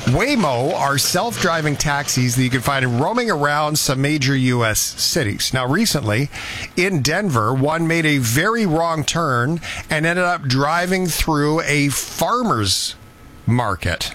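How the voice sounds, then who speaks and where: A man's voice: on the high side, expressive, measured pace, fairly bright tone. Conversational male radio host, noisy background. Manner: conversational, incredulous rhetorical questions. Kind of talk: casual news banter and story telling.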